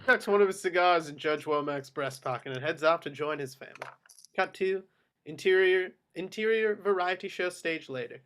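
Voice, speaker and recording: A man with a high voice reads out stage directions. It is scripted narration for a table read of a screenplay. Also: moderate pace, a bit bright, clean tone.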